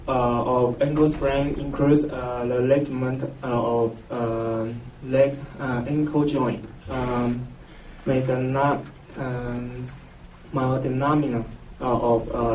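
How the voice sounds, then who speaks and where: Male academic presenter, Taiwanese accent, halting and unsure, full of pauses; mellow tone, picked up from far off. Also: medium pitch. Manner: hesitant, halting, with very frequent filled pauses. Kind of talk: scientific conference presentation in halting English. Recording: roomy, noisy, distant mic, muffled echo.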